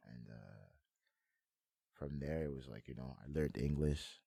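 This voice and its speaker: Young male rapper guest, very low voice, dry close sound, loose slangy interview talk. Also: unhurried, vocal fry, warm tone, slightly rough, no room sound. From France, moved to Montreal, Canada at eight. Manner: slangy, often checks in with "you feel me". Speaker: guest, hip hop artist. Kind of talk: casual chat, interview answers.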